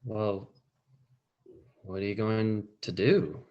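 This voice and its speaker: A man's voice: on the low side, takes it slowly, a little mellow, highs cut off. A man playing a glum, subdued teenage son in a drama table read over a video call.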